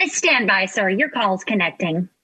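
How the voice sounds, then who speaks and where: 50s phone operator, clipped and polite, piercing, bit raspy, radio play style. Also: female voice, medium pitch, animated, measured pace, bright, forceful tone, heavily acted. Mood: businesslike, polite. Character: brisk, formal 1950s long-distance telephone operator. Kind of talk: old-time radio drama acting.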